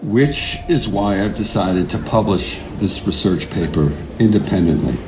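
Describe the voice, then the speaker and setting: An actor playing an earnest, idealistic neuroscientist in an audio drama, speaking in a measured, deliberate way. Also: male voice, deep voice, lively intonation, background noise. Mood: measured, grave. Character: earnest idealistic male neuroscientist, defiant under pressure. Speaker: neuroscientist, lead character. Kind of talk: audio drama narration and dialogue.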